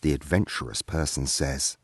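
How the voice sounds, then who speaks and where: A man narrating a scripted story in a theatrical, deliberate storyteller's manner. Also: extremely low pitch, husky, no room sound.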